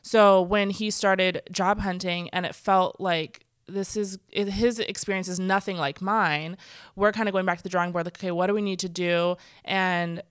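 Chatty woman with a smooth voice. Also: lowish voice, fairly even intonation, normal speed, dry recording, clean studio sound. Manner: chatty, many "like" fillers, acts out quoted speech. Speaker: guest speaker. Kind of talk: casual conversation. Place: church stage.

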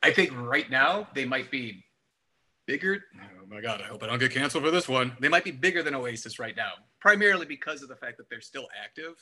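A man with a very bright, forceful voice at a medium pitch. He chats as a music podcast co-host, emphatic and repetitive, often slipping into imagined quotes. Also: expressive, brisk. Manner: emphatic, repeats words, acts out imagined quotes. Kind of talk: casual album discussion.

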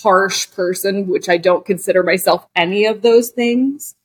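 A woman's voice: medium pitch, normal speed, slightly rough. Candid woman in her forties. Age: 40s. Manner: searching, full of "like" and restarts. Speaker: guest, actor and director. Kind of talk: candid podcast conversation.

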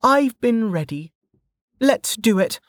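A woman's voice: fairly high pitch, expressive, slow pace, dry recording, studio quality, voice pushed up. A narrator voicing a brash, cocky young woman fighter who talks in a bossy, taunting way. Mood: eager, defiant.

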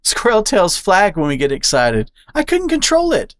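A woman voicing a bubbly, brash young female squirrel who blurts things out, with a squeaky excited voice. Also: moderate pace, no echo, clean studio sound. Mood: excitable. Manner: loud, excitable, high-pitched blurting.

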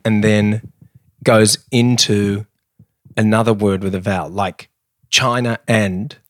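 A man's voice: lowish voice, steady tone, slow pace, no room sound. Playful man, dry close mic. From Australia. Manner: rambling, playful, laughs easily. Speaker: podcast host. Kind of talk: casual podcast chat.

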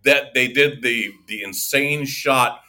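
A man co-hosts a relaxed morning talk from an enrollment office. His voice is bright and forceful at a middle pitch. He rambles, circles back and restarts his sentences often. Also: slow pace. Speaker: co-host. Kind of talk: casual morning chat. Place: office of an insurance enrollment center.

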